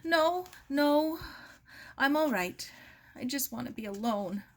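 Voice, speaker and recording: A woman voicing a grieving young woman in a storybook, with a high, thin voice and brittle, polite calm. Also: slow, heavily acted. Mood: strained, brittle. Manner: polite, restrained, fragile composure. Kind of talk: storybook read-aloud.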